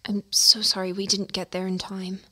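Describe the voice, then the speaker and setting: An actress playing a sympathetic, hesitant police officer with a husky voice in an audio drama. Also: female voice, medium pitch, completely flat delivery, normal speed, bright, forceful tone. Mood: sombre, apologetic.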